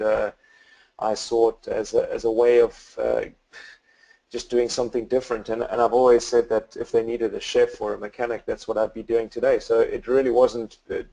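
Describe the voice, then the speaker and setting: Gravelly South African man, flat delivery. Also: lowish voice, lo-fi sound. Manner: hesitant, many fillers and restarts. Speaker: guest photographer. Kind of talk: webinar presentation. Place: home office.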